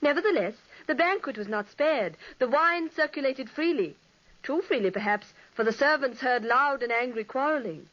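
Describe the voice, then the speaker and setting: A woman's voice: sits high, lively intonation, normal speed, a bit bright, dull audio. An actress playing a young woman telling a ghost legend dramatically in an old radio play. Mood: spooky. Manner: dramatic storytelling. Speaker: storytelling sister. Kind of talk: 1940s radio acting.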